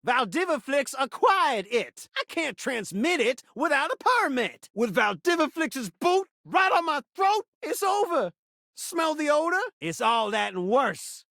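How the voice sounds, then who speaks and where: A man's voice: extremely high pitch, sing-song, measured pace, gravelly, bright, forceful tone, no room sound, clean studio sound, exaggerated voice. A man voicing a video game talking television, a flamboyant showman who speaks in theatrical rhymes with a self-pitying, dramatic streak. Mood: despairing rant. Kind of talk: video game character voice acting.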